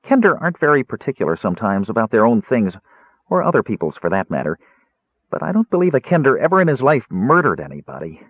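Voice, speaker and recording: A man's voice: mid-range voice, sing-song, quick pace, slightly rough, no room sound, narrow, tinny audio. A man voicing a small, guileless kender from a fantasy story, chattering eagerly and earnestly in a rambling way. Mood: earnest, troubled. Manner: rambling, eager, matter-of-fact reasoning.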